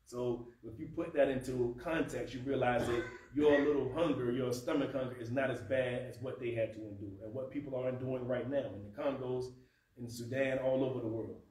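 A man's voice: mid-range voice, brisk. Man in his thirties, roomy and distant. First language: not Arabic. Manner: conversational, animated, gesturing at a lectern. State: dry mouth from fasting. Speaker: speaker teaching at a mosque. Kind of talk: informal teaching talk. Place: carpeted prayer room indoors. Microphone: far camera. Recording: roomy, distant camera sound with faint hum.

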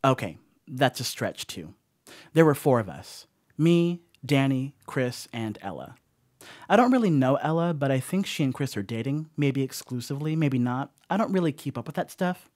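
Sheepish young male stoner house-sitter played by a man, horror radio play. Mid-pitched, rambling, apologetic, closely and dryly recorded. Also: big pitch swings, normal speed, darkish tone, no echo, clean studio sound, lightly acted. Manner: rambling, apologetic, casual asides. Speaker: voice actor. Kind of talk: audio horror monologue, conversational confessional.

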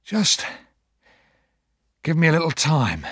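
A man playing a rough, weary ex-convict of about sixty in an audiobook, speaking slowly and quietly in a husky, fond way. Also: on the high side, wildly swooping pitch, no echo, studio quality, pitched above the performer's own voice, exaggerated voice. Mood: weary, humble. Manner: slow, quiet, deliberate.

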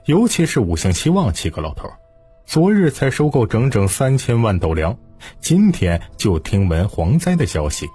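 A man's voice: mid-range voice, very animated, normal speed, mellow, husky, no echo. A man narrating historical fiction in a dramatic scripted audiobook style. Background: music.

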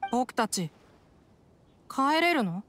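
A woman's voice: high voice, very animated, extremely slow, fairly bright tone, a little hiss or hum, heavily acted. A woman voicing an earnest, loyal little village boy with a childlike, innocent way of speaking in an anime game. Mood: worried. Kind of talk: Japanese anime game voice acting.

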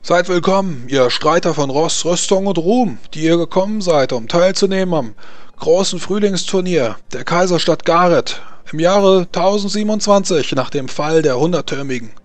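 Man playing a loud, ceremonial tournament marshal, raised pitch. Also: lively intonation, normal speed, no room sound, close to an everyday voice. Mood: proclaiming. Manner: ceremonial, loudly declaiming. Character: proud tournament marshal proclaiming to an arena.

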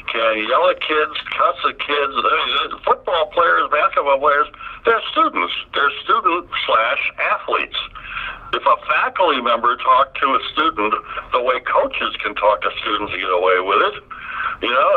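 An older man, a retired professor, talking in a deep voice with halting restarts and a blunt, aggrieved tone, heard over a phone line. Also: slightly rough. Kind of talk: reflective interview talk.

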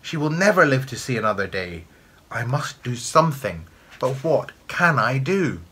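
A man's voice: mid-range voice, very animated, normal speed, sharp tone, husky, voice pushed up, exaggerated voice. A man voicing a terrified young granddaughter in a storybook, anxious and pleading. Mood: terrified, desperate. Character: terrified young granddaughter fretting for her granny.

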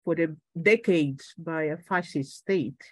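Halting Angolan woman, native Portuguese speaker. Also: low voice, no echo. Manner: halting, searching for words, repeating phrases. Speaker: political party speaker at a conference. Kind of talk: political talk by video call. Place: room at home. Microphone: video call.

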